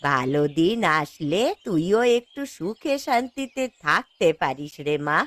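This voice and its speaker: A woman voicing a poor, patient old grandmother in a fairy tale cartoon, speaking slowly and gently with a plaintive manner. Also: low-pitched, sing-song, pitched below the performer's own voice, heavily acted. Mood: weary, hopeful.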